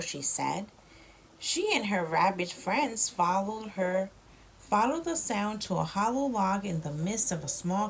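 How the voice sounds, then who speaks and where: A woman reads a children's story aloud at an unhurried pace. Her pitch moves up and down in a lively, child-directed way, and she adds simple explanations. A light noise sits behind her in an amateur home recording. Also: rough recording. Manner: slow, expressive child-directed delivery.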